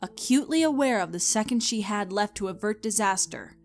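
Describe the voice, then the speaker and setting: A woman's voice: mid-range voice, expressive, a little fast, faint music behind. A woman reading scripted story narration in a dramatic, storytelling style.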